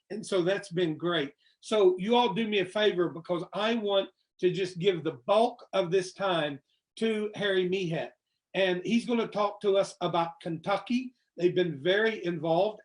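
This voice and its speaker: A man hosting an interview, talking casually and rambling with many fillers and restarts. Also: sits high. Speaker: host.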